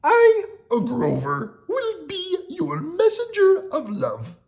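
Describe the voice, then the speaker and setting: An eager, gallant furry monster, put on by a woman reading a storybook. The voice is pitched far above her own, slow and drawn out, gushing and theatrical. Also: wildly swooping pitch, darkish tone, exaggerated voice. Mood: earnest. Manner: high, wobbly, gushing and theatrical.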